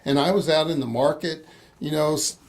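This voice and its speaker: A man in his sixties hosting an interview in a relaxed, conversational way, with frequent restarts and easy laughter. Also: fairly high pitch, a little fast. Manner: rambling, hesitant restarts, laughs easily. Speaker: interviewer, missions organization host.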